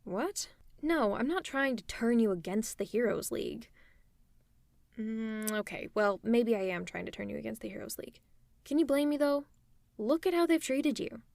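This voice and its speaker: A tough female supervillain with a soft spot, acted by a woman in an ASMR roleplay. Her voice is fairly high and recorded close and dry. She talks in a conversational way, reassuring with a gently teasing edge. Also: animated, moderate pace, creaky, no room sound. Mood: indignant. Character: tough, caring female supervillain tending an injured hero. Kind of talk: ASMR roleplay audio drama.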